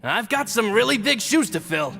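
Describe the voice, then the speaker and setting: An earnest, respectful male attendant in a video game. His high, bright voice is formal and eager, ringing with long reverb. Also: big pitch swings, normal speed, vocal fry, exaggerated voice. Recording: long hall reverb, music behind.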